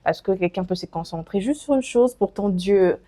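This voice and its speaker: A woman hosting an interview, attentive and soft-spoken, asking questions and giving brief agreements. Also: mid-range voice, normal speed. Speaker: interviewer host. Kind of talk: conversational interview.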